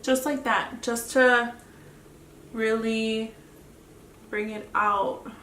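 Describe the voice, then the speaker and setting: A woman's voice: on the high side, fairly even intonation, drawn-out words, fairly bright tone. A woman with a Mexican accent chatting casually through a makeup tutorial, often trailing off mid-sentence. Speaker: beauty vlogger. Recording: echoey room, mic at a distance, faint hiss.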